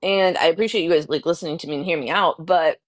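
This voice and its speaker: A woman's voice: low voice, quick pace, no echo. A woman in a casual live-stream talk, speaking earnestly and a little defensively, with many fillers. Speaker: guest in live stream discussion.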